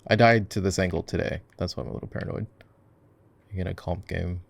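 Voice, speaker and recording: Guy acting as chill gamer boyfriend, deepish voice w lots of fry, rambly casual asmr roleplay, sweet to his partner. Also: steady tone, quick pace. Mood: relaxed, instructive. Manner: rambling, musing aloud. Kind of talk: casual ASMR roleplay chat while gaming.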